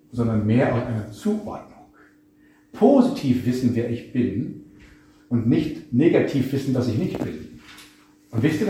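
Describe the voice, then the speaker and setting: A man's voice: mid-range voice, darkish tone. A male preacher giving a reflective sermon, putting many questions to his listeners. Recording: echoey room, speaker far from mic, faint noise.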